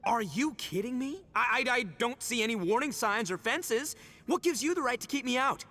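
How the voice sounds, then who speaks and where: Loud, high-pitched, brash young gang boss. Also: male voice, expressive, normal speed, heavily acted. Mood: indignant. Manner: cocky, blustering, theatrical.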